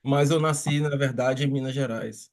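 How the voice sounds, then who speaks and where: A man's voice: medium pitch, steady tone, moderate pace. Church member, man from Espírito Santo, courteous, on a video call. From Espírito Santo, Brazil. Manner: polite, formal greetings. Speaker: church member giving testimony.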